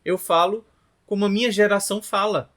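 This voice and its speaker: Chatty Northeastern Brazilian man, higher voice. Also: fairly even intonation, a little fast, fairly bright tone. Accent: Brazilian Portuguese, from Rio Grande do Norte. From Natal, northeastern Brazil. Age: 30s. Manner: chatty, ends phrases with tag questions. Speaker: language teacher. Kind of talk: informal lesson to camera.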